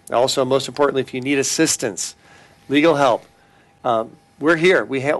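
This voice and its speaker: A middle-aged man with a Californian accent, an attorney talking earnestly on a talk show, making announcements and telling a case story with frequent restarts. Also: mid-range voice. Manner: earnest, repeats web address, many restarts.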